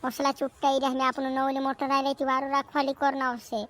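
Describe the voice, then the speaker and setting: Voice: high-pitched, completely flat delivery, a little fast, fairly bright tone. A performer voicing a chatty, fretful village cartoon character with a rural western Madhya Pradesh accent, repeating phrases in comedy dialogue. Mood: complaining. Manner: repetitive, chattering.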